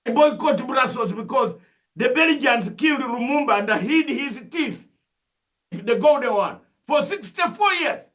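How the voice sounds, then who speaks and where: An older Ugandan-accented man with a very high, bright, forceful voice, lecturing with fervour and piling up rhetorical questions. He comes through a dull, lo-fi remote link. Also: lively intonation, measured pace. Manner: emphatic, preachy, full of rhetorical questions and repetition. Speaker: analyst guest. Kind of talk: impassioned interview commentary.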